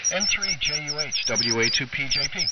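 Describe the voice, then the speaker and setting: An American man, an amateur radio operator, chatting casually over an AM shortwave link, halting and often repeating himself. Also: a little high, animated, distant mic. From United States. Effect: AM shortwave radio transmission. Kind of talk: casual on-air radio chat. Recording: muffled AM radio, loud static and whistles. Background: loud radio static and tones.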